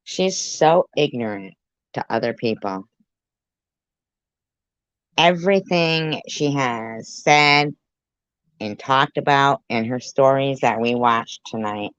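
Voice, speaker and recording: A woman's voice: a bass voice, expressive, slow pace, pauses often, smooth, no room sound. A woman commentary host reacting to a clip in a blunt, sarcastic, profane way. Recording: dull lo-fi recording.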